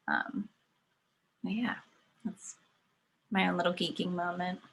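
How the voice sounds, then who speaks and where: A woman who grew up speaking Spanish and English, chatting casually and a bit haltingly but with enthusiasm in an online meeting. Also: fairly low pitch, steady tone, a bit bright, a little hiss or hum. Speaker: meeting participant. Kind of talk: casual chat.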